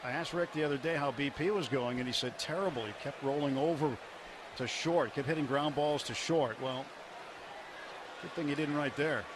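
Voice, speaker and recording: Male radio color commentator, baseball, fairly high voice, dry and conversational, noisy ballpark broadcast. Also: moderate pace.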